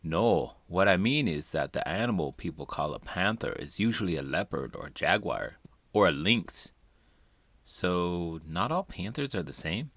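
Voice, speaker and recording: A man reading a children's picture book aloud in an expressive, dramatic way, as a host would for young listeners. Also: low-pitched, normal speed, no echo. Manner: expressive, dramatic delivery with big emotions. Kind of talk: animated read-aloud narration for children.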